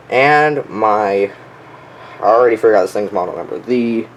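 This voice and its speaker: A young man giving a casual, unscripted show-and-tell in an offhand, enthusiastic hobbyist way. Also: lowish voice. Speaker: weather radio collector showing their collection. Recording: clearly noisy home recording.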